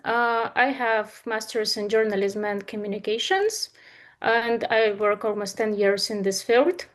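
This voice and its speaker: A woman in her thirties from Eastern Ukraine, speaking English with a Ukrainian accent, presenting a talk with earnest delivery and frequent pauses. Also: mid-range voice. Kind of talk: presentation to an online audience.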